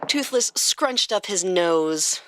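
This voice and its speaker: Expressive woman narrator with lively pitch. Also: slow pace, dry recording. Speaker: podcast host and narrator. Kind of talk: expressive scripted story narration.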